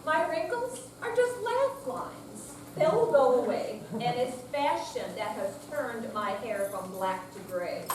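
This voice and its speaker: Slow-paced older woman reciting, echoey hall. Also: fairly high pitch, expressive. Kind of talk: dramatic recitation of a humorous poem. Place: senior center room with audience, distant mic. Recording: echoey hall from far off, noisy.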